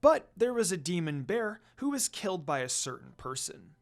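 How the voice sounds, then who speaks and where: A narrator reading a fan fiction story aloud in an expressive amateur storytelling style. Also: medium pitch, moderate pace, vocal fry, soft background music.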